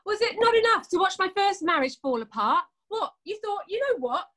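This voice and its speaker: A woman's voice: very high-pitched, sing-song, fairly quick, a bit bright, full character voice. A woman acting a blunt, sarcastic elder sister who holds a grudge, in a naturalistic drama. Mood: hurt, angry. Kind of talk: naturalistic stage drama dialogue.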